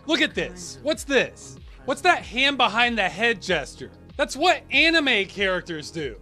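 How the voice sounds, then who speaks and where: A man doing a shrill mocking voice. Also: very animated, measured pace, sharp tone, soft background music, heavily acted. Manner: exaggerated, incredulous mocking. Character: shrill mocking young male commentator.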